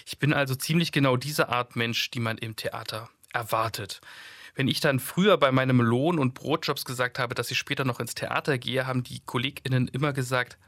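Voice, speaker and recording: A man in his thirties hosting a podcast and interviewing a guest in a clear, measured, conversational way. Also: mid-range voice, fairly even intonation, a bit bright, no room sound, studio quality. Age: 30s. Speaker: podcast host.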